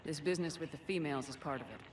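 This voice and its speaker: A woman playing a steady, direct human military commander in a video game, asking questions in a businesslike way. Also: deep voice, very fast, lightly acted. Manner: businesslike, probing. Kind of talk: video game cutscene acting. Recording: slightly distant, faintly noisy game capture.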